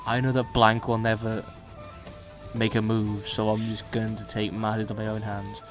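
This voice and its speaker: A man's voice: fairly low pitch, monotone, normal speed, over music. A man casually bantering and reading fan fiction aloud in a mock-dramatic, teasing and sweary way.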